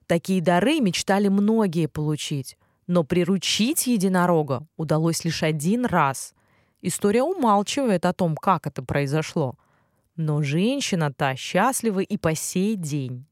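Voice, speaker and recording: A woman narrating a children's fairy tale in an expressive storytelling style. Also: lowish voice, unhurried, no echo, clean studio sound.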